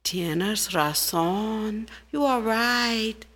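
A woman voicing the rain as a slow, calm storybook character that passes blame along. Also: mid-range voice. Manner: slow, measured, drawn out.

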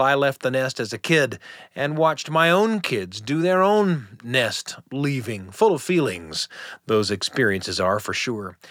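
A middle-aged man hosting a radio storytelling show, reading a script in an expressive, conversational way. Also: mid-range voice, dry recording, clean studio sound. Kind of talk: scripted narration. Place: dry studio.

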